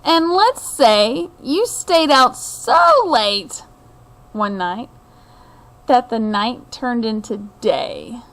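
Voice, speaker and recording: A middle-aged woman hosts a picture book read-aloud for children in a high-pitched voice. She takes her time, telling the story expressively with deliberate phrasing and speaking directly to her listeners. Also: sing-song, slight background noise, poor audio.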